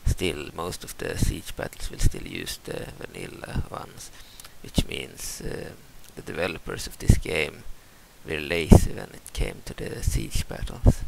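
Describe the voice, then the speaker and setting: A man's voice: a bass voice, big pitch swings, a little slow, vocal fry, few pauses, background noise. A young Swedish man with a Swedish accent, talking casually and hesitantly through a game mod walkthrough, with many fillers and restarts. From Sweden. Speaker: gaming channel host.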